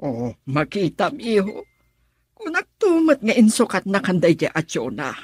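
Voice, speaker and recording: A very husky middle-aged mother from a radio melodrama, doting yet scheming. Her pitch swoops dramatically from low to high, and the recording is close and dry. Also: wildly swooping pitch, unhurried, darkish tone, no room sound, exaggerated voice. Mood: concerned, coaxing.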